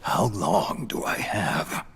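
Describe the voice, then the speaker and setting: A man playing a gruff, weary, rapidly aging soldier in a video game, speaking in terse, gravelly, hushed questions. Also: sits low, lively intonation, slight background noise, full character voice. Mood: grim, weary. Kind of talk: video game cutscene acting.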